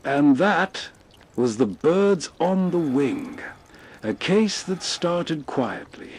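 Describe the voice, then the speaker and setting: A man's voice: a little high, darkish tone. A man hosting with soothing, scripted late-night narration. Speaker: host.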